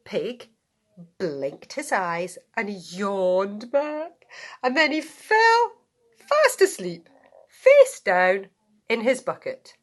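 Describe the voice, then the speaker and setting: A woman reads a children's bedtime story with a very lively, swooping pitch. She takes it extremely slowly, stretching words theatrically and dropping into hushed tones. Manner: theatrical, drawn-out, with hushed whispers and acted yawns. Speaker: storybook reader, school staff member.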